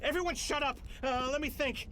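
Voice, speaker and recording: An actor playing a desperate, snappish corporate villain in a video game, agitated and stammering. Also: male voice, very high-pitched, fairly quick, sharp tone, slight background noise, poor audio. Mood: panicked, shouting. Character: jittery male corporate boss villain, desperate, snappish, cornered.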